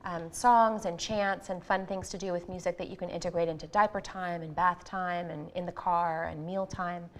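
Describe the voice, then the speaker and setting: A woman's voice: lowish voice, fairly even intonation, normal speed, few pauses. A woman guest on an interview show, explaining her music program fluently and earnestly. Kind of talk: interview answers.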